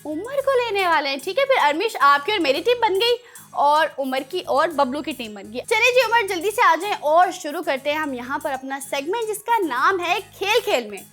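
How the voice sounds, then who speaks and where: High-pitched Pakistani woman hosting for kids. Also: big pitch swings, moderate pace, fairly bright tone, faint music behind. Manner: playful, encouraging to children. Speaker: children's show host. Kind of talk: lively children's TV presenting.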